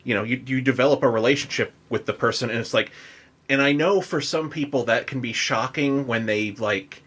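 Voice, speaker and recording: A man's voice: medium pitch, brisk, a bit bright, husky. An American man hosting a podcast, chatting casually in a loose, rambling, informal way. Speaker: podcast host. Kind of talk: casual interview chat.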